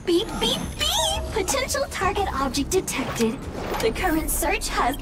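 An actress voicing a small, eager robot helper in a video game, with perky singsong announcements and salesy politeness. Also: female voice, extremely high pitch, wildly swooping pitch, unhurried, loud music behind the voice, exaggerated voice. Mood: chirpy, salesy. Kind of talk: scripted video game voice acting.

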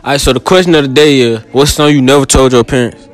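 A man's voice: mid-range voice, racing, faint music behind. A teenage boy interviewing classmates with a handheld mic at school, teasing and crude, laughing often. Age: teens. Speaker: street interviewer. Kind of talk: casual hallway interview. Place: school hallways and classrooms, some outdoors.

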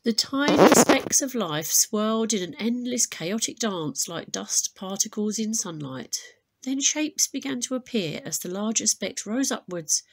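A woman teacher reading a storybook aloud to pupils in an expressive, deliberate way, adding explanations. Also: mid-range voice, a little hiss or hum, low-quality recording.

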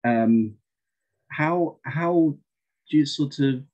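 A man's voice: fairly high pitch, very slow, warm tone. A man asking a question over a video call, hesitant and circling around his point with many restarts. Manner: hesitant, full of "sort of" and restarts. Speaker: audience questioner. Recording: dull lo-fi video call audio.

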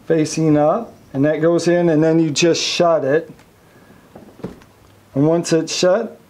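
A man walking through the setup of a boat navigation chart card in a casual hands-on demonstration, with many fillers. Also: a little high. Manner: relaxed, many fillers, talks through each step. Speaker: marine electronics reviewer.

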